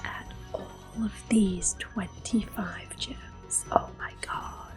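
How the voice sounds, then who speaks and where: A woman giving gentle, unhurried ASMR game commentary in a breathy, whispery voice. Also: mid-range voice, fairly even intonation. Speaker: gameplay host. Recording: music behind the voice. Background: soft game music.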